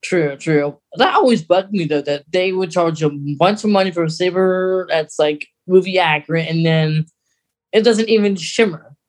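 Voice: mid-range voice, normal speed. A podcast host talking through fan news in a casual, conversational chat.

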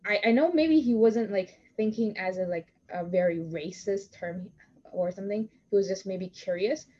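A woman's voice: medium pitch, normal speed, warm tone. Young woman, podcast host, chatty, lots of "like", slightly muffled audio. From Toronto, Canada. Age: young adult. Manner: talks in long runs heavy with "like" and restarts. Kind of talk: casual conversational interview.

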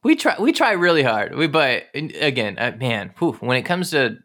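A man's voice: medium pitch, big pitch swings, moderate pace, pushed and bright. A man co-hosting a podcast in casual chat, rambling and rephrasing as he goes. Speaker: podcast co-host.

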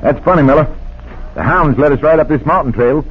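An actor in a 1940s radio drama playing a hearty, soft-hearted lawman on a manhunt, emphatic and folksy. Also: fairly high pitch, fairly quick, breathy edge. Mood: hearty alert. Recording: tinny, noisy 1940s radio recording.

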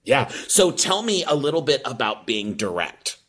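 A man interviewing a guest, asking questions in an unhurried way and laughing along with him. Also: mid-range voice, big pitch swings, fairly bright tone, slightly rough.

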